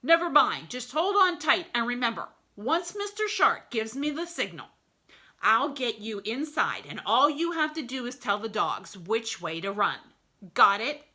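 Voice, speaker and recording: A woman's voice: on the high side, unhurried, voice pushed up, full character voice. A woman voicing a boastful, overconfident male wolf who leads the team, speaking eagerly. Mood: confident. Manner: eager, excitable.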